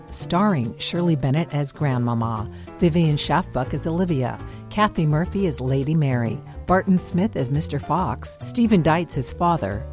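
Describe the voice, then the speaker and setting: Female announcer, deep and warm-toned, scripted credit read over soft music.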